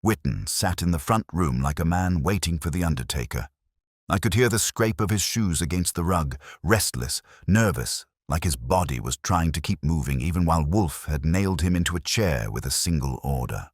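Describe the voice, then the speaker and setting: A man's voice: low voice, expressive, moderate pace, no echo, clean studio sound. A man narrating a hardboiled detective story as a scripted audiobook, in a wry, dramatic manner.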